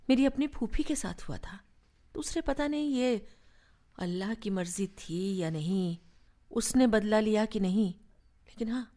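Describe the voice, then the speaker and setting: An actress in a radio play as an affectionate, nostalgic married elder sister and mother, speaking gently and expressively. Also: mid-range voice. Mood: reflective.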